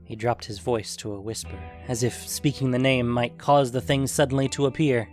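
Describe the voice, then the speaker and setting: A man's voice: mid-range voice, steady tone, normal speed. A man reading scripted fantasy story narration as the narrator. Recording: music behind the voice.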